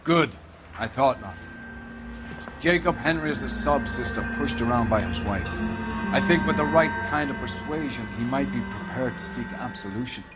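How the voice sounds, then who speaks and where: Older police captain, played by a man, domineering and patronizing, mid-pitched, slyly genial, game crime drama with music behind. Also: moderate pace, natural voice. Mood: menacing condescension. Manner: pompous, patronizing.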